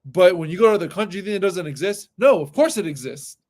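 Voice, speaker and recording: A man's voice: sits high, expressive, fast talker, a little mellow. A man in a casual discussion show who speaks earnestly, repeats phrases and keeps checking in with "right?". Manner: earnest, repeats himself, many "right?" tags.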